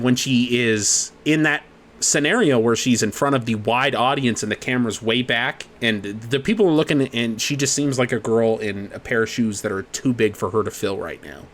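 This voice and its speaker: A man's voice: medium pitch, moderate pace, a bit bright. A young man co-hosting a podcast, explaining at length in a casual way, swearing freely. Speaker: podcast co-host.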